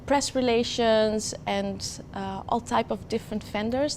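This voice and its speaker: A woman from Afghanistan, a guest in a kitchen, talking in a friendly way with frequent pauses and fillers. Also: mid-range voice, a little hiss or hum. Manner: friendly, hesitant with many fillers. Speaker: guest, marketing manager. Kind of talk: casual chat.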